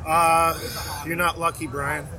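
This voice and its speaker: A man's voice: a little high, level tone, slow pace. A man who emcees an event, chatting in a teasing, breezy way. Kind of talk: casual chat. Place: busy indoor expo hall. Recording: voice from off-mic amid crowd.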